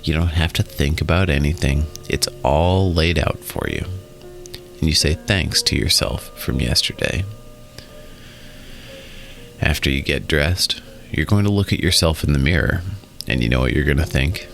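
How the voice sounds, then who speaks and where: A man narrating a guided relaxation in a soothing, instructional way, in short phrases with pauses. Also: very deep voice, vocal fry, a bit bright. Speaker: guided meditation host. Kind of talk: guided meditation and hypnosis narration. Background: music.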